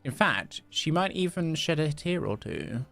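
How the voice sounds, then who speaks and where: A man voicing a florid, theatrical shopkeeper in a fantasy video game, grandly courteous and teasing, with ornate, drawn-out phrasing. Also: on the high side, big pitch swings, creaky, fairly bright tone, soft background music, voice pushed up, heavily acted. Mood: mock-dramatic.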